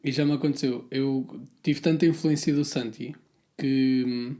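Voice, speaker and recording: Man, laid-back podcast host, warm dark tone, loose rambling delivery. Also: mid-range voice, a little slow. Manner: relaxed, rambling, with filler words. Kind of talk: casual conversational interview.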